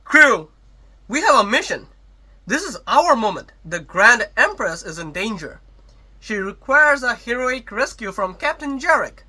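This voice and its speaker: A man's voice: very high-pitched, big pitch swings, measured pace, pushed and bright, exaggerated voice. A man playing a vain, self-assured starship captain with grand, dramatic manner in a sci-fi comedy audio drama. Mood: heroic. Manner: pompous, grandiose. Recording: noisy hobbyist home recording.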